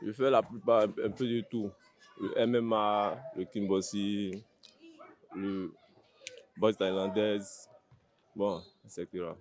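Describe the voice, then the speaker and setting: A man's voice: fairly low pitch, little pitch movement, slow pace, pauses often. A man with an Ivorian accent, a fighter answering interview questions in a blunt, plain-spoken way with short, repeated phrases. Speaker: MMA fighter being interviewed.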